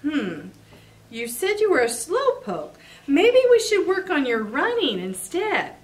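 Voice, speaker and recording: A woman's voice: sits high, huge pitch swings, takes it slowly, voice pushed up, full character voice. A woman reading a picture book aloud and voicing a sly, easygoing male fox in a teasing, coaxing way. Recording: voice a bit off-mic.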